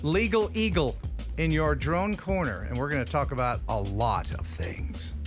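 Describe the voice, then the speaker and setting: A man's voice: a little high, expressive, moderate pace, over music. A middle-aged man hosting a live stream, chatting casually in a rambling, heartfelt way while grieving a lost dog. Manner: rambling and heartfelt, with frequent restarts. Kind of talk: casual chat.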